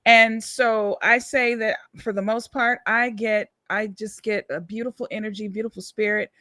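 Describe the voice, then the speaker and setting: A woman with a slightly raspy voice, speaking earnestly and encouragingly as a singer being interviewed in a heartfelt conversation. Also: a little high, steady tone, normal speed, pushed and bright, no echo. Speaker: guest singer and songwriter.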